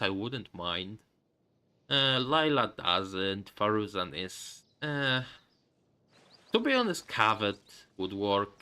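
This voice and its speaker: Male streamer, lowish bright voice, slow rambling casual commentary, faint music behind. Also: a little hiss or hum. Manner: hesitant, rambling, thinking aloud. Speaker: game streamer. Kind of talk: casual live gaming commentary.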